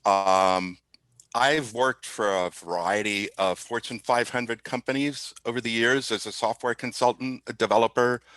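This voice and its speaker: An older man speaking as an interview guest, introducing himself in a measured way. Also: mid-range voice, a bit bright, no room sound. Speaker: podcast guest, retired software consultant and volunteer.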